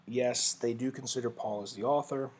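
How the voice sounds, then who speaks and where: A man giving a casual, rambling screen-share walkthrough of study software, often correcting himself mid-sentence. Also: mid-range voice, steady tone, moderate pace, a little hiss or hum. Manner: rambling, self-correcting, conversational. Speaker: vlogger reviewing software.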